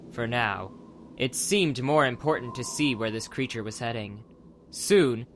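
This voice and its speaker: A man's voice: mid-range voice, sharp tone, slight background noise. A man narrating a dramatized adventure audiobook from a script as the storyteller.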